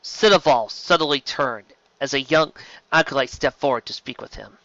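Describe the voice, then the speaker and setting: A man's voice: medium pitch, moderate pace, bright, forceful tone, no echo, dull audio. A man reading science fiction aloud as an amateur audiobook narrator, in a dramatic storytelling style.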